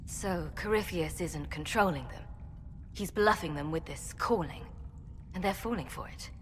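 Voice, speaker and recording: A woman's voice: fairly low pitch, moderate pace, natural voice. Woman as blunt ally, echoing space. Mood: realizing, grim. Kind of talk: video game dialogue acting. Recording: long reverb, faint background noise.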